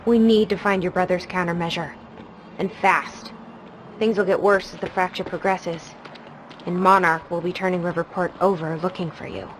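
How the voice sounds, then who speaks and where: An actress playing a tough, wry undercover agent in a video game, brusque and sardonic. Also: female voice, fairly low pitch, fairly even intonation, normal speed, slight background noise, lightly acted, rough recording. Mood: urgent, guarded. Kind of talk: video game cutscene acting.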